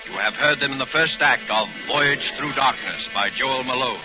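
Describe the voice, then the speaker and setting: A man's voice: mid-range voice, normal speed. A man announcing a program in formal, dramatic 1940s radio style. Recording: muffled old radio, loud music behind.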